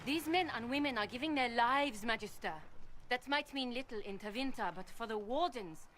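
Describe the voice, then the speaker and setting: An actress playing a solemn veteran commander of a warrior order in a video game, speaking in a grave, declamatory way. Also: female voice, on the high side, moderate pace, a bit bright, slight background noise, natural voice. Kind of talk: dramatic video game voice acting.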